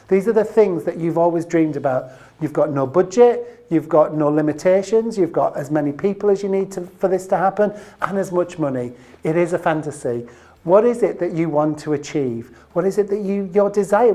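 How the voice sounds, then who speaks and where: Female trainer for a short business explainer: lowish pitch, very mellow tone, emphatic on key phrases, speaking straight to the viewer. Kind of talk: instructional training video presentation to camera.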